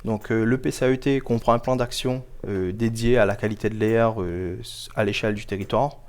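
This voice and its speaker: A man giving explanatory answers as an interview guest, measured and formal, pausing often to choose his words carefully. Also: on the low side, steady tone, darkish tone. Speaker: guest, local government project officer.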